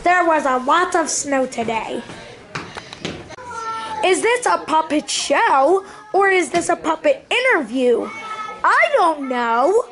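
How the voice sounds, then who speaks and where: A woman's voice: high voice, expressive, slow pace, music in the background. A woman casually hosting a homemade puppet show and putting questions to the people gathered. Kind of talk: informal presenting to a family gathering.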